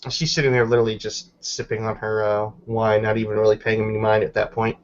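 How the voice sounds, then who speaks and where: A man's voice: fairly low pitch, flat delivery, fast talker, dull audio. A man casually narrating a character's actions in third person during a tabletop roleplaying game.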